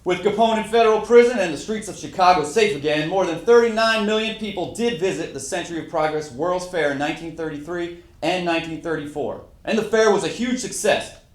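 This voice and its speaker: A man's voice: fairly high pitch, natural voice. A man playing a famous, proud 1930s Prohibition lawman, giving a formal, matter-of-fact recitation of his career. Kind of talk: first-person historical monologue, read aloud. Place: indoor room at a live reading event. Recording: echoey room, live stage reading.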